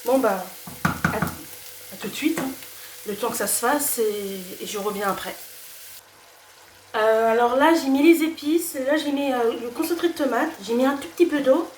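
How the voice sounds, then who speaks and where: A woman's voice: high-pitched, moderate pace, long pauses, slight background noise. A young woman with a slight cold talking casually through a home cooking video, hesitant and full of fillers and restarts. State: has a cold. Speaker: cooking vlogger. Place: home kitchen. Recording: echoey kitchen, voice from far off. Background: sizzling pan and stirring.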